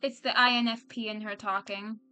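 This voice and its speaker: A woman's voice: mid-range voice, steady tone, fairly quick, over music. A woman streamer giving casual reactions while playing a video game.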